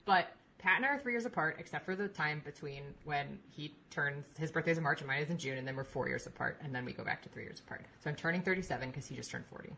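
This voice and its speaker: A woman in her thirties, a podcast guest talking in a rambling, animated way and laughing easily. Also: low voice, fast talker, creaky, few pauses, slight background noise, rough recording. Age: 30s. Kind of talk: casual chat.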